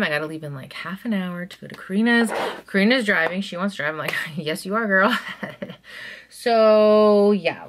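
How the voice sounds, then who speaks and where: A woman in her thirties chats to a camera close in front of her as she does her makeup in a small bedroom at home. She talks eagerly and keeps drifting into soft, quiet asides. Her voice sits a little low for a woman. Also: background noise. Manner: chatty and excitable, trailing into soft asides. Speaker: vlogger. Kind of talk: casual chat while doing makeup.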